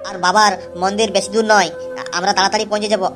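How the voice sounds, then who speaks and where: A man's voice: high voice, little pitch movement, very fast, over music, natural voice. A man voicing a weary, chatty young male pilgrim in an amateur cartoon, rambling and repeating himself. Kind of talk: amateur cartoon dialogue, vlog-like.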